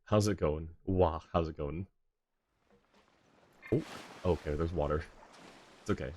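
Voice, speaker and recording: A man's voice: extremely low pitch, measured pace, a little mellow, slight background noise. A man livestreaming a video game, chatting casually and thinking aloud in a rambling way. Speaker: livestreamer host. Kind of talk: casual chat while playing a game. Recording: game music behind the voice. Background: video game music and character voices.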